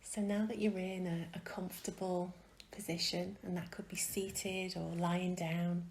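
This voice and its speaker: A woman gently guiding a meditation in a soft, breathy, often near-whispered voice, soothing and unhurried, with long pauses. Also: fairly low pitch, little pitch movement, slight background noise. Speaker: meditation guide. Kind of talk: guided meditation.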